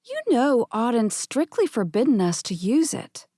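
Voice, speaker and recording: A woman's voice: fairly high pitch, sing-song, measured pace, warm tone, no echo, studio quality, voice pushed up. A woman voicing a prim, cautious young woman with a chiding manner.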